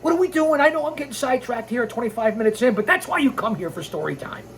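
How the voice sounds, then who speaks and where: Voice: mid-range voice, lively intonation, quick pace. Blunt, opinionated wrestling storyteller with noisy background. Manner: opinionated, blunt, sarcastic, swearing. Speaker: host of a pro wrestling storytelling show. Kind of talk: reading a scripted biography with ranting, informal asides.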